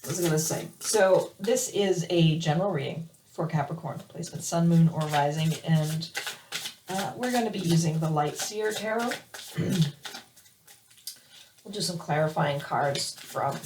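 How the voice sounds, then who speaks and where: A woman hoarse from allergies giving a weekly tarot reading, hesitant with many fillers and restarts. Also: low voice, normal speed, far from the mic, background noise. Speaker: tarot reader. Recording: roomy, from off the mic.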